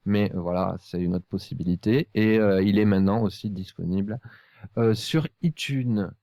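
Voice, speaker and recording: A man hosting a casual podcast, speaking in a hesitant, halting way. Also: fairly low pitch, warm tone, dry recording, studio quality. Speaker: podcast host.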